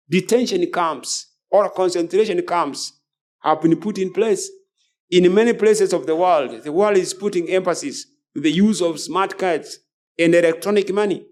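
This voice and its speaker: High-pitched male preacher, emphatic delivery. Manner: emphatic, repeats phrases. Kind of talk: sermon with scripture and book readings.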